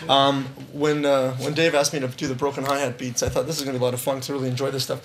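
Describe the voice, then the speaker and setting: A man's voice: mid-range voice, little pitch movement, rapid-fire. A man in his thirties teaching a live drum lesson on a studio stage, upbeat, counting rhythms aloud, and losing his voice.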